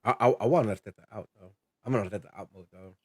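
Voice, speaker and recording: A man's voice: on the low side, quick pace, a little mellow, husky, no echo. An American man in casual sports banter, teasing in slang and repeating himself for emphasis. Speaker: sports show co-host. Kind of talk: casual fan banter about soccer.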